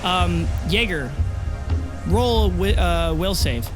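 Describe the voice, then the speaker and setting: A man acting as game master, improvising narration in a casual, halting way for a tabletop game. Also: sits high, lively intonation, over music.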